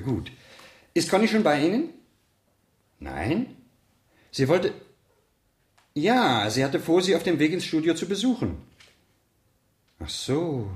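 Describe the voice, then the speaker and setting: An actor playing a worried, insistent husband in a German radio crime play, with clipped, pressing questions. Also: male voice, mid-range voice, roomy sound. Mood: puzzled, concerned.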